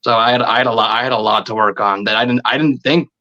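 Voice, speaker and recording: Man with a forceful, bright voice, halting. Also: medium pitch, steady tone, muffled recording. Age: 30s. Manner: halting, repeats words and phrases. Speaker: podcast guest, video creator. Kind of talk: casual interview chat.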